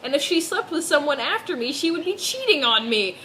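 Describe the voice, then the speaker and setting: A woman's voice: sits high, big pitch swings, fairly quick, fairly bright tone, a little hiss or hum. A woman doing a mock-serious dramatic reading of fan fiction, dropping in amused asides.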